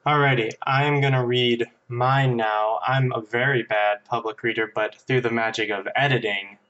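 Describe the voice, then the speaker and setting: A man chatting and telling stories casually as a podcast guest, animated, joking and self-deprecating. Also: medium pitch, moderate pace, highs cut off. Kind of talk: casual chat and storytelling.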